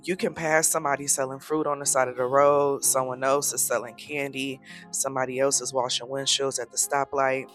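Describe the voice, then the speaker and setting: A young American woman talking casually in a travel vlog, unhurried and reflective, laughing easily. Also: extremely low pitch, little pitch movement, soft background music. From United States. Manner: laughs often, speaks slowly and hesitantly in Spanish. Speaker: vlogger.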